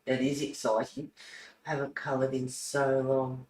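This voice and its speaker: A woman's voice: rumbling low, little pitch movement, normal speed, darkish tone. A woman hosting casually, musing aloud in short, loose phrases as she works on a hobby. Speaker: host of a colouring channel. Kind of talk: casual chat while colouring. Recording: distant mic, echoey room, faint noise.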